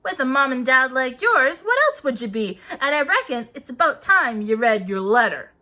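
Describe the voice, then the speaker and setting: A woman voicing a huge, gruff male giant who booms and blusters indignantly. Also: big pitch swings, moderate pace, bright, forceful tone, exaggerated voice. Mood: booming. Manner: booming, indignant.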